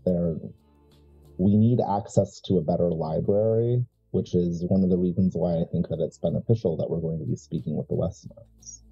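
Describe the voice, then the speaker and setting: Man playing a low, husky, scheming occult heir. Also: fairly even intonation, warm tone. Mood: calculating. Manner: deliberate, halting, explanatory. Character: scheming male occult heir, measured, proud, coldly calculating. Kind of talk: improvised tabletop horror roleplay. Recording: muffled mic with music behind.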